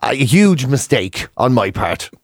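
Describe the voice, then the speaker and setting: A man's voice: medium pitch, big pitch swings, takes it slowly, background noise. An Irish man, a radio host, bantering casually in a rambling, mock-ranting, comic way.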